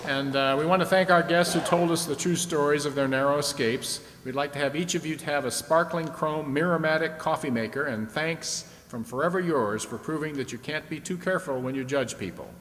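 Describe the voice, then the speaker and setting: A man's voice: on the high side, a little fast, hardly any pauses, fairly bright tone, close to an everyday voice. Man acting as vintage radio quiz host, echoey hall. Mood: announcing. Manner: explanatory, prompting with questions. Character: genial, chatty male host of a vintage audience-stunt show. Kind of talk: old-time radio show acting. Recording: echoey hall with background noise.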